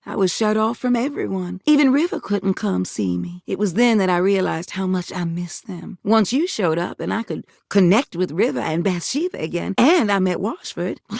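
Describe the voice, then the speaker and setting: Game role: a living household appliance, female, folksy drawl, proud yet tender, gravelly low register, close dry sound. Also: darkish tone, no echo. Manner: folksy, affectionate, emotionally candid. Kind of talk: video game character acting.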